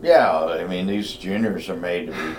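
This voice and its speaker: A man with a loud voice speaking bluntly and emphatically as an interview guest, repeating himself for emphasis. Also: low-pitched, moderate pace, slight background noise. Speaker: guest, investment newsletter writer. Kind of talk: conversational interview about investing.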